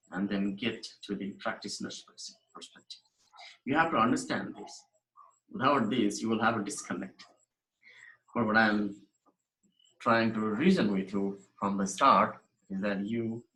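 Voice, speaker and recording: A man's voice: on the low side, pushed and bright, reverberant, low-quality recording. A man from Nepal giving a recorded university lecture over slides, earnest and emphatic, often restarting and repeating phrases.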